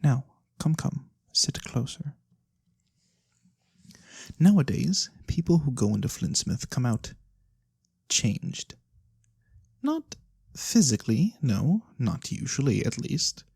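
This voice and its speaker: Soft, husky, mysterious fantasy lorekeeper, played by an ASMR performer. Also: medium pitch, very animated, dry recording, studio quality, exaggerated voice. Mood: teasing, ominous. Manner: theatrical, coy, deliberate pauses. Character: sly mysterious nonhuman lorekeeper, fortune-telling storyteller for coin. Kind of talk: soft-spoken ASMR fantasy roleplay, storytelling.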